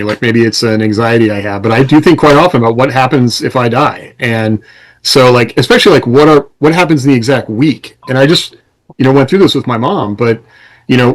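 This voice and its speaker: A man's voice: medium pitch, rough recording. A man co-hosting a casual podcast, talking in an explanatory, conversational way. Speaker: podcast co-host and author.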